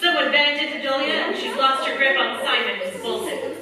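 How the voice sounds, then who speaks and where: A woman's voice: high-pitched, moderate pace, lightly acted. An actress playing an earnest wartime heroine who narrates her own adventure in a dramatic, scripted radio play style. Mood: tense, dramatic. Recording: echoey stage, distant mic, faint noise.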